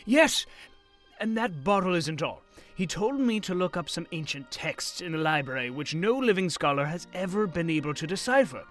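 A man plays an elderly professor in a radio-style drama, genial and easily amazed. His fairly high voice swoops up and down as he gushes and stammers. Music plays underneath. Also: sing-song, moderate pace, a bit bright. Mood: awed. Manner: effusive, stammering with delight. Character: excitable elderly male professor, genial and marvelling. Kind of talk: audio drama acting.

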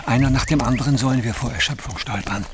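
A man's voice: medium pitch, fast, background noise, natural voice. An actor playing a scornful, fatalistic male captive in an audio drama, curt and sardonic. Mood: scornful, grim.

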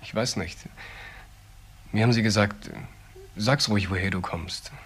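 An actor playing a subdued young ex-convict in a crime radio play, giving halting, simple answers. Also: male voice, on the low side, fairly bright tone, slight background noise, natural voice. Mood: hesitant. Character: subdued, hesitant young male ex-convict suspect. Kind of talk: German crime radio drama dialogue.

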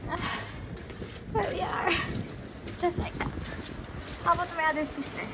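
A woman's voice: very high-pitched, fairly even intonation, a little slow. A young woman casually chatting and joking as she films a hike, mixing Tagalog and English. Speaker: vlogger filming a hike. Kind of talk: casual chat while walking. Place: coastal clifftop trail and sandstone tunnel outdoors. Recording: noisy outdoor phone recording.

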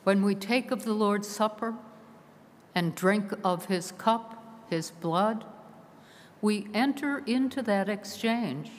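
A woman delivers a sermon at a very slow, deliberate pace, letting her phrases fall away at the ends. Her voice sits mid-range. It rings out through a large stone church with a long, lingering reverb. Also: medium pitch. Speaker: preacher.

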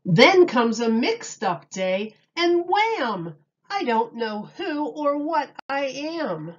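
Female storyteller for kids' picture book; unhurried, expressive, mid-pitched, slightly dull lo-fi sound. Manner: slow, deliberate, dramatic emphasis on key words. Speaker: teacher reading a picture book aloud. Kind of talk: expressive children's read-aloud.

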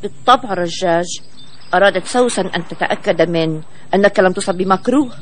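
Lady doing a brisk cartoon animal pal, talks fast, noisy soundtrack. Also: fairly low pitch, lightly acted. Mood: concerned. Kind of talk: children's cartoon dubbing in formal Arabic.

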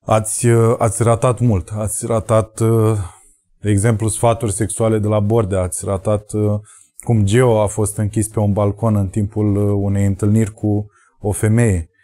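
A man in his thirties closing the show with a halting appeal to subscribe, his voice going. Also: lowish voice, little pitch movement, dark tone. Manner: halting, many fillers. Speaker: show host. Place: studio in front of red backdrop.

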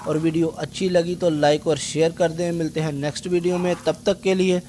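A man's voice: a little high, little pitch movement, fairly quick, warm tone, a little hiss or hum. A man with a Pakistani accent, narrating a recipe as the host of a cooking channel.

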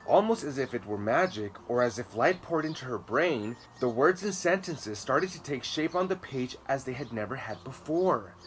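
A man in his thirties reading a children's story aloud expressively, with slow, emphatic words. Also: mid-range voice, expressive. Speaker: children's storytime reader and host. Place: outdoor garden patio. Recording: clear background noise, outdoor birdsong. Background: birds, outdoor ambience.

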